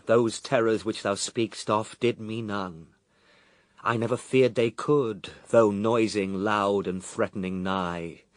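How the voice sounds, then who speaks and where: An actor playing a calm, resolute holy man who rebukes his tempter in classical radio verse drama, measured, dignified and firm. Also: medium pitch, no room sound.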